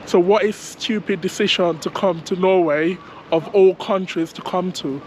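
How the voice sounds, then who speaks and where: A man with a hoarse, sore throat, hesitantly talking through a casual travel vlog while walking outdoors. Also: sits high, fairly even intonation, moderate pace, slight background noise. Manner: hesitant, many ums, thinking aloud. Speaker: travel vlogger. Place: outdoors on city streets.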